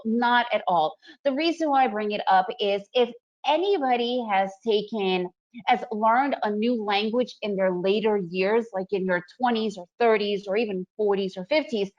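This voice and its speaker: A woman, presenting a trading webinar in a chatty, upbeat way and often checking in with "right?". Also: mid-range voice. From Soviet Union, raised in the US. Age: 40s. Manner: chatty, upbeat, frequent fillers and "right?" tags. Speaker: trading educator hosting a webinar. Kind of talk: casual presentation. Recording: dull lo-fi webinar audio.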